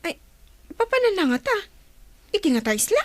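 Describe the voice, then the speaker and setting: A woman acting an excitable, inquisitive onlooker in a Philippine radio drama. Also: extremely high pitch, fairly quick, no echo. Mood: startled.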